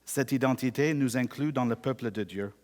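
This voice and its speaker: A Canadian man whose first language is English, preaching a sermon in measured, deliberate speech and slowing down to read scripture. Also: mid-range voice, long reverb tail.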